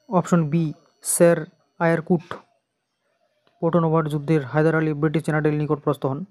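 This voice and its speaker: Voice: mid-range voice, a little mellow, no room sound. An exam coaching teacher with an Indian Bengali accent, methodically reading out quiz questions and answers and repeating them.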